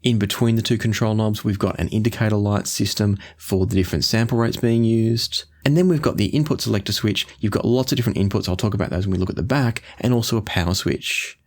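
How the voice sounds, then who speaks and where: Male review host, slightly low voice, measured and explanatory delivery to viewers. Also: darkish tone. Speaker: host, audio gear reviewer. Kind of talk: product review to camera.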